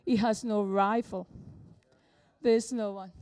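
An older woman preaching a sermon, a little hoarse from a cold, effusive and exclamatory. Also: mid-range voice, steady tone, takes it slowly, warm tone.

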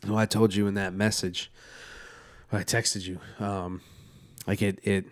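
A man's voice: fairly low pitch, flat delivery, a little slow. A man co-hosting a casual beer podcast, a little congested and nasal, rambling with many restarts and a slightly sore throat. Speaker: podcast co-host. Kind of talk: casual chat over beer.

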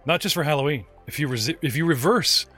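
A man's voice: a little high, fast, fairly bright tone, faint music behind. A man running a tabletop game as game master, joking and rambling with his players, often restarting his sentences. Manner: playful, rambling, stumbling restarts.

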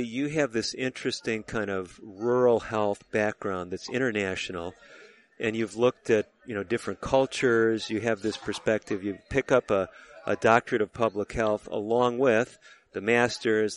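A man hosting a radio interview show, asking questions in a measured, conversational way. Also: fairly low pitch.

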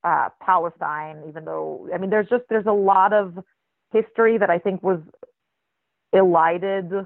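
A writer raised in Israel with a flat American accent, speaking as an interview guest in a thoughtful, searching way with many restarts. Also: medium pitch, measured pace, vocal fry, no room sound. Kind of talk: reflective conversational interview. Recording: tinny phone-line recording.

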